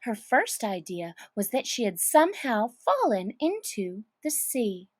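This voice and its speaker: A woman narrating a children's story in an expressive, dramatic reading style. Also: on the high side, unhurried, dry recording, studio quality.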